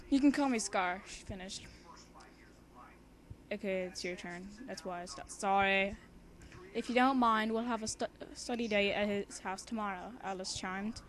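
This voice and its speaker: A young woman with an informal, giggly, excitable manner, reading a story aloud casually and breaking off into bantering asides. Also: medium pitch. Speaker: amateur fan fiction reader and co-host.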